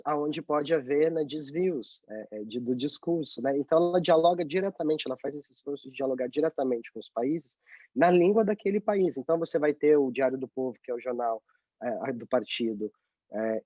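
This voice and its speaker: A man's voice: mid-range voice, mellow, no room sound. A Brazilian man speaking as a guest in a video call interview, explaining at length with frequent hesitations. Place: office in Beijing. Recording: muffled video call audio.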